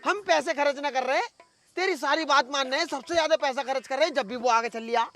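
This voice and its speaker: An actor playing a stingy, vain village election candidate, blunt and grumbling, in a comedy sketch. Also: male voice, very high-pitched, brisk, bright, forceful tone, a little hiss or hum. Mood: angry. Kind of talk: rustic comedy sketch acting.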